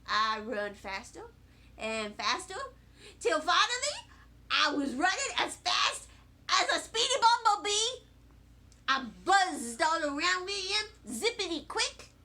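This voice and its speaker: A woman voicing a feisty, impish kindergarten girl in an expressive children's storybook reading, with emphatic, childlike phrasing. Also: very high-pitched, heavily acted. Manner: childlike, emphatic, dramatic phrasing with kid grammar.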